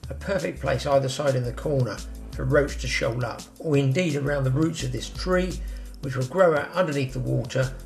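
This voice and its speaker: An older man talks through fishing tips in a practical, chatty way, addressing viewers directly. He is recorded outdoors by a pond on a camera mic, sometimes from a little further off. Music plays clearly underneath. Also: medium pitch. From England. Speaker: fishing show host. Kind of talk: instructional outdoor fishing talk. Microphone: camera mic, some lines from further off.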